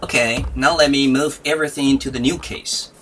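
A man's voice: medium pitch, fairly even intonation, fairly quick, a bit bright. A man giving scripted tutorial narration as a maker presenting an electronics build. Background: background music.

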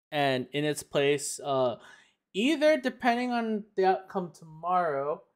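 A man streaming a game, joking and rambling in casual chat, his voice going a little raspy. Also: on the high side. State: voice getting raspy.

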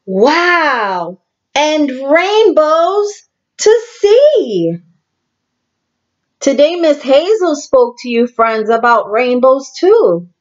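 Woman, fairly high voice, extremely unhurried pace, children's story reading, animated and deliberate, addresses young listeners directly. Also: lo-fi sound. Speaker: teacher reading a picture book to young children. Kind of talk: children's read-aloud with asides to listeners.

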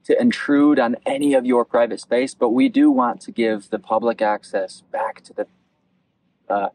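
Young man, lively pitch, halting and earnest. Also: dry recording, poor audio. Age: 20s. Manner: earnest, halting, repeating phrases. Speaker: interviewer, local election candidate. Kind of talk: campaign interview. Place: outdoors at a beach picnic table.

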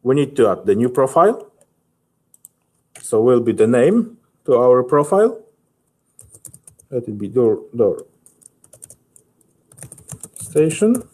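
A man in his thirties with a Polish accent, giving a halting, methodical software tutorial as a technical specialist. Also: medium pitch, very animated, husky. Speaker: technical specialist presenting a webinar.

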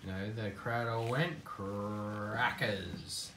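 A man's voice: fairly low pitch, fairly even intonation, extremely slow, fairly bright tone, slight background noise. A man patiently helping with a read-aloud, giving brief word-by-word corrections. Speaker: parent coaching a child's reading. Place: indoors at home beside a child reader.

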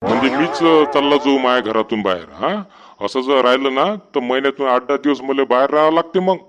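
A man's voice: mid-range voice, fairly quick, a bit bright, close to an everyday voice. A man voicing a henpecked, sarcastic village husband in a comedy cartoon, complaining in rural Marathi of Vidarbha. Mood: grumbling, exasperated.

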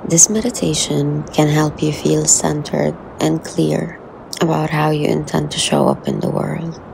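Slow, creaky low-voiced woman guiding meditation. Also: little pitch movement, background noise. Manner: soothing, unhurried, with long pauses. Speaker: guided meditation instructor. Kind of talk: guided meditation narration.

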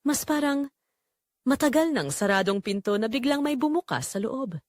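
A woman playing Rosa's part, a lonely, neglected wife in her forties, telling her story in a confessional, dramatic audio narration with short pausing phrases. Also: high-pitched, animated, dry recording, studio quality, pitched above the performer's own voice. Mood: conflicted, longing. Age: 40s. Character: Rosa, lonely neglected forty-three-year-old wife, wistful and conflicted.

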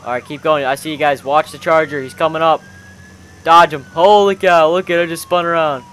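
A man's voice: on the high side, fairly even intonation, brisk, fairly bright tone, clean tone. A young man commentating on gameplay casually with friends, exclamatory and full of slang like bro and dude. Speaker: gaming video host.

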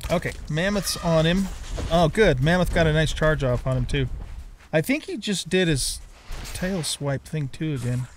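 A man's voice: fairly high pitch, lively intonation, moderate pace, slight background noise. An older man giving casual, informal video game commentary, thinking aloud as he plays. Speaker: gaming streamer, let's player.

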